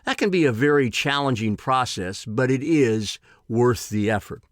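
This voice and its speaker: A man in his sixties reading his own personal essay in a deliberate, emphatic way with formal phrasing. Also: medium pitch, lively intonation, no echo, clean studio sound. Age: 60s.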